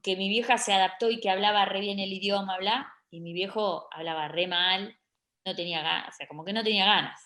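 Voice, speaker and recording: A woman with an Argentine accent, a photographer being interviewed, sharing personal memories in a halting, conversational way. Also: medium pitch, fairly even intonation, pushed and bright. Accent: Argentine Spanish.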